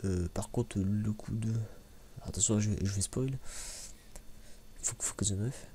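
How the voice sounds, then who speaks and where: A soft-spoken man gives casual ASMR-style commentary, halting and rambling, repeating words and using slang. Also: lowish voice, slight background noise. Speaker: ASMR video creator.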